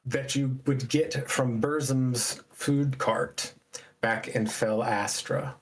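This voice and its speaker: Man, game master, mid-range voice, improvised descriptive narration, halting phrasing. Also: medium pitch, fairly even intonation, low-quality recording.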